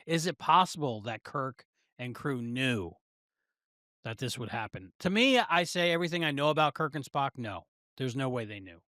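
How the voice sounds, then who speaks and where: A man's voice: medium pitch, animated, normal speed, sharp tone, no room sound, studio quality. A man co-hosting a podcast, talking casually as he discusses and reviews a show. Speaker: podcast co-host.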